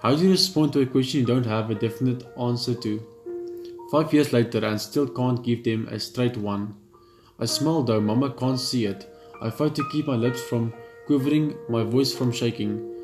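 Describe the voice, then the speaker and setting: A man reading a visual novel aloud in first person, steady and reflective, with small slips and restarts. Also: mid-range voice, moderate pace. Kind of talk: first-person story narration. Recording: roomy with game music. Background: game music.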